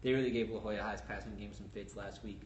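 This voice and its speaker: A man co-hosting a casual sports podcast, explaining stats and game outlooks in a brisk, analytical way. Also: on the low side, fairly even intonation. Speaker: sports reporter co-host. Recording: roomy echo with faint ambient noise.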